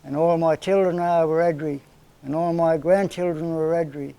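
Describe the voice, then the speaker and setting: A man in his seventies from northern New South Wales with an Australian accent, telling stories of his life in a relaxed, plain-spoken way during an interview. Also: a little high, a little fast, vocal fry, clear, resonant tone, poor audio. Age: 70s. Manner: plain-spoken, rambling storyteller with everyday slang. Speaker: interviewee, community elder. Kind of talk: storytelling in casual conversation.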